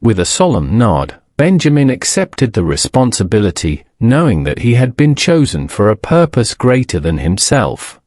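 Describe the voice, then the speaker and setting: A man reading a scripted bedtime story as a narrator, in a measured, solemn storybook manner. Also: mid-range voice, sing-song, a little mellow, no echo, clean studio sound.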